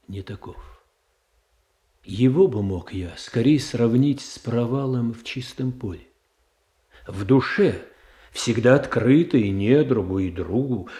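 An actor playing a stern, ambitious statesman in a classical verse radio drama, speaking in a deliberate, declamatory manner. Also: mid-range voice, dark tone, natural voice. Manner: deliberate, weighty, declamatory delivery. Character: stern, ambitious, calculating statesman brother of the Tsarina. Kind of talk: classical verse tragedy in a Russian radio play.

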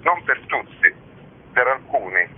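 A man's voice: mid-range voice, sing-song, a little slow. A man giving conversational interview answers as a festival organiser. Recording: noisy phone-like narrow-band audio.

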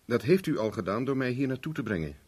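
An actor in a 1970s Dutch radio play, playing a cocky private investigator with a dry, teasing, self-mocking manner. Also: male voice, mid-range voice, sing-song, fast, darkish tone, breathy edge. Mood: firm, explaining. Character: wry, cocky male private investigator for hire.

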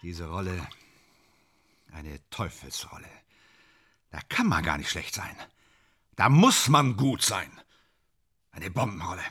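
A man's voice: sits low, expressive, slow pace, long pauses, bright, forceful tone, slightly rough, heavily acted. A man in a radio play acting a vain, tormented stage actor, bitter and self-dramatising, with a theatrical, declamatory manner that swings between muttering and outbursts. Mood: fervent.

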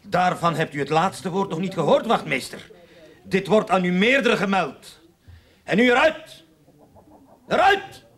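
An actor playing a guarded bank director in a radio play, formal, hesitant and curt, with a husky voice. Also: male voice, high voice, animated, unhurried, long pauses, fairly bright tone, exaggerated voice. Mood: outraged. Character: stiff, guarded male bank director, officious.

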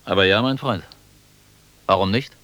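A low-pitched, wry military officer in a radio drama. He talks down to others with sly, condescending humour while keeping his own position guarded and correct. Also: moderate pace, bright, forceful tone. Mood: mocking. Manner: sardonic, condescending, chuckling at the young. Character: wry, guarded army major, patronizing yet obedient volunteer leader. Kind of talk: German radio drama acting.

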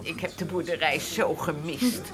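A woman's voice: low-pitched, normal speed, crowd noise, natural voice. An actress playing a frail, plaintive old grandmother in a radio drama. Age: elderly. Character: frail old grandmother, plaintive, easily delighted, complaining of pain.

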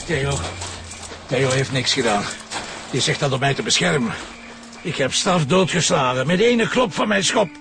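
Acteur als krakende, schuldbewuste oude grootvader. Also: male voice, medium pitch, expressive, moderate pace, long pauses, noisy background, lightly acted. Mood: confessing, agitated. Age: older. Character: old grandfather, cornered killer, guilt-ridden and fiercely protective. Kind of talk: radio drama acting.

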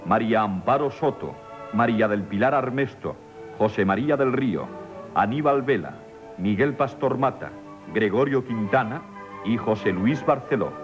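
A man reading a formal, measured scripted announcement as a radio announcer. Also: mid-range voice, animated. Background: music.

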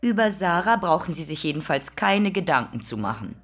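An actress playing a reserved, formal receptionist in a drama, polite, evasive and a little hesitant. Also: female voice, lowish voice, very animated, a little fast, faint music behind. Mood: awkward, guarded. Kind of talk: theatrical dialogue acting.

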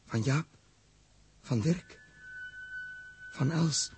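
A forlorn space captain speaks extremely slowly over clear background noise. His delivery is halting and bewildered, in the style of a 1960s science-fiction radio serial. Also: a little high, wildly swooping pitch, a little mellow, exaggerated voice. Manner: halting, murmured, deliberate. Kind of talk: 1960s Dutch radio drama acting. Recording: noisy vintage radio recording with humming ambience.

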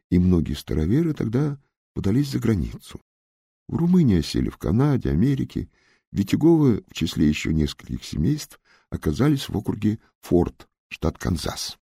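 A man voicing a young Russian-American who frankly recounts his hard family past in an unhurried audiobook narration with polite asides. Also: fairly low pitch, gravelly, mellow, husky, no room sound, studio quality, pitched above the performer's own voice, heavily acted. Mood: earnest.

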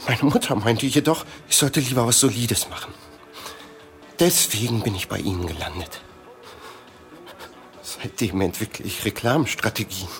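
A man's voice: lowish voice, moderate pace, long pauses, fairly bright tone, faint music behind. An actor playing a frustrated sausage advertising man quitting his job in a satirical German radio drama. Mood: weary resignation.

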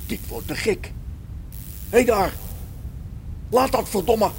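A man's voice: high voice, takes it slowly, gravelly, slight background noise, heavily acted. A man playing a practical, down-to-earth space engineer in a radio play, speaking in a colloquial way. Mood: angry, commanding. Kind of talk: amateur science fiction radio play acting.

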